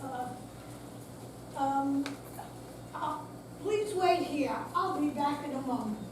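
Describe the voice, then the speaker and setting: A woman plays a stern female police officer with a high voice and a deliberate, official manner. It is a live staged reading in a very echoey room. The mic is distant and the noise is clear. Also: animated. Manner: formal, firm, deliberate. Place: senior center hall, live performance.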